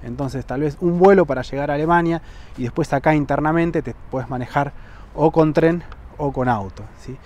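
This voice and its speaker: A man's voice: a little high, normal speed. A man in his thirties with a Rioplatense Spanish accent, vlogging outdoors on a lapel mic. He explains travel rules to the camera and keeps checking in with "¿sí?". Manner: checks in with frequent "¿sí?" tags, lively emphasis. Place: outdoors on a lawn by buildings, sunny.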